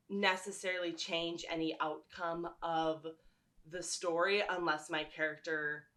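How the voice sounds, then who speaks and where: Female vlogger, bright and crisp-edged tone, chatty and keen, wandering through personal stories in an informal way. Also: on the low side. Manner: enthusiastic, rambling storytelling. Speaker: vlogger and fan fiction writer. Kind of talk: casual chatty talk to viewers.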